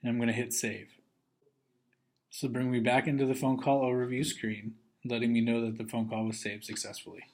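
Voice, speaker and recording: A man giving step-by-step instructional narration as a software trainer, in a methodical way. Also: mid-range voice, level tone, a little fast.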